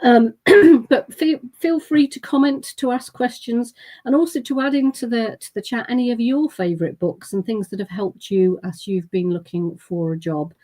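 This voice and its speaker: A woman hosting a live online panel, friendly and brisk as she prompts her guests. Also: fairly high pitch, mellow. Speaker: host. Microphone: video call.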